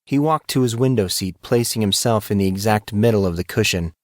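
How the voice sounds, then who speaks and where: A man's voice: on the low side, brisk, no echo, clean studio sound. A man giving scripted, dramatic narration of a story as an audiobook narrator.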